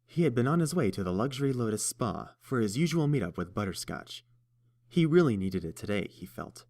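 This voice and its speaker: A man narrating a story in a steady, even storytelling manner. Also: mid-range voice, a little fast. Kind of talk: fan audiobook narration.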